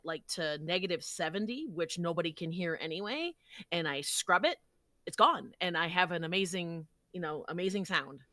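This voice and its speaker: A woman voice actor with a low voice for a female, chatting casually as an interview guest, recorded in a closet booth on a close microphone. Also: fairly bright tone, no echo. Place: walk-in closet used as a home recording booth. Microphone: close studio microphone.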